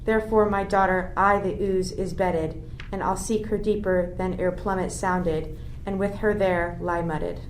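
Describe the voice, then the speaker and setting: A woman's voice: on the low side, steady tone, unhurried, close to an everyday voice. A grief-stricken royal parent racked by guilt, played by a woman in a Shakespeare radio play. The verse is despairing. The recording is echoey, with faint noise behind it. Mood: horrified, despairing. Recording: roomy, with noisy ambience behind.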